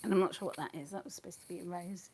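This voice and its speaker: A woman's voice: lowish voice, fairly even intonation, very fast, dark tone, breathy edge. A woman losing her voice, teaching watercolour painting live in a casual, rambling way and often muttering to herself as she works. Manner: chatty, rambling, thinks aloud, often mutters under her breath.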